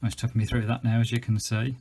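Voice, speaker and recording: A man's voice: medium pitch, flat delivery, fast talker, vocal fry, a little mellow, a little hiss or hum. A British man giving a casual, unscripted product demonstration as a video host, chatty and informal, with some hesitancy. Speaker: gadget reviewer and video host.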